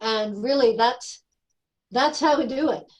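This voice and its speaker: Higher-pitched woman chatting casually. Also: a little slow. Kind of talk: casual chat.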